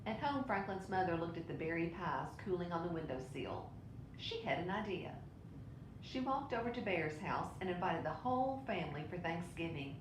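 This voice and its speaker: A woman reading a children's picture book aloud in an expressive way. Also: moderate pace. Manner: expressive, with brief asides to listeners. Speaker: storybook reader. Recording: roomy echo, clear low rumbling noise.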